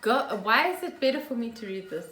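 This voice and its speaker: Animated woman in an echoey room. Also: a little high, a little fast, faint music behind. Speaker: podcast co-host. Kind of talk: casual chat. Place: room at home. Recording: echoey room with background noise.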